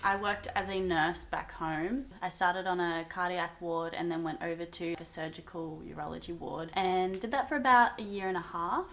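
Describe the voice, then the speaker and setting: A woman's voice: fairly low pitch, fairly even intonation, moderate pace, hardly any pauses, fairly bright tone. A woman in her twenties with an Australian accent, chatting casually and telling personal stories for a vlog. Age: 20s. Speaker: vlogger, co-host of a couple's channel. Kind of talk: casual chat, personal storytelling.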